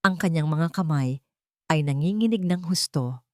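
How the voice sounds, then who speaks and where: A woman's voice: low-pitched, expressive, normal speed, darkish tone, no room sound, studio quality. A woman reading a scripted dramatic story as its narrator.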